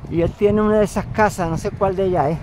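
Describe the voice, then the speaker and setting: A man from Puerto Rico with a Puerto Rican accent, vlogging casually as he walks outdoors, halting, with many fillers and restarts. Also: high voice, steady tone, background noise. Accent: Puerto Rican Spanish. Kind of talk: casual walking vlog. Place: outdoors, walking.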